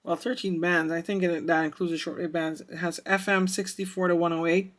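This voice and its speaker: Bright tone, mid-range pitch, casual demonstration, hesitant thinking aloud, product reviewer. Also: flat delivery, fairly quick. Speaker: radio reviewer.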